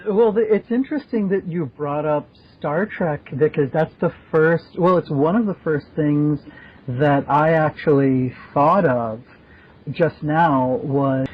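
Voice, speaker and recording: Husky, higher-pitched man chatting. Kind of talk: casual panel discussion. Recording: dull, band-limited lo-fi audio.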